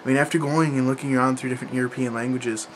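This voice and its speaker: A man's voice: medium pitch, steady tone, very fast, slight background noise, low-quality recording. A young man chatting casually to camera about learning languages, rambling, with lots of fillers and restarts. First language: English.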